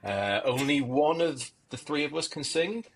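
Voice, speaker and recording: A man's voice: a little high, expressive, a little slow. A man in his forties with an English accent, co-hosting a casual podcast with dry, wry humor. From England. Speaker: podcast co-host. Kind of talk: casual chat.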